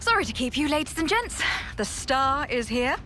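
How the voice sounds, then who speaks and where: An actress playing a playful, battle-hungry commander in a video game, with theatrical, teasing, jaunty delivery. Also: female voice, sits high, sing-song, normal speed, bright, forceful tone, faint music behind, exaggerated voice. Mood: teasing, confident. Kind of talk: video game cutscene acting.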